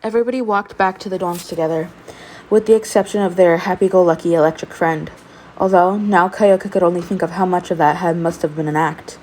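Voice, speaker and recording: A woman reading fan fiction aloud in an expressive amateur storytelling style. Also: on the low side, a little fast, slight background noise, low-quality recording.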